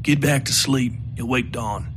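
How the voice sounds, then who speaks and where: A man voicing a weary, hardened middle-aged man who answers in curt, clipped lines, in a dark audio story. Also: mid-range voice, measured pace, background noise, lightly acted.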